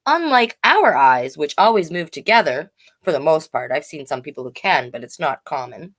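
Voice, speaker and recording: A woman's voice: low-pitched, sing-song, normal speed, fairly bright tone. A woman hosting a children's storytime, reading aloud expressively and playfully, with chatty explanatory asides for young listeners. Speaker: host reading a children's picture book.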